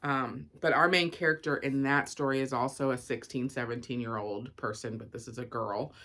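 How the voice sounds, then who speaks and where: Low-voiced woman chatting casually about books. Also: steady tone, normal speed, fairly bright tone. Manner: informal, rambling, thinking aloud with asides. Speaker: book reviewer, vlogger. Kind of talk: casual chatty book review and unboxing.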